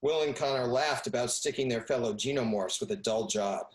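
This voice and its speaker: A man's voice: medium pitch, fairly quick, echoey room, close to an everyday voice. A man playing a genial middle-aged storyteller in an audio drama, with a folksy manner. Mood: nostalgic storytelling. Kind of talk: audio drama first-person narration.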